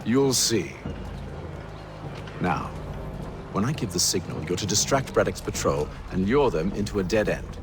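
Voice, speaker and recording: A composed, calculating gentleman leader, with a low voice and very varied intonation. He speaks formally and with command, as in a game cutscene, with music behind him. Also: animated, a little slow, long pauses. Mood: calm, commanding. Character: composed, calculating male leader of a covert band. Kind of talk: video game cutscene acting.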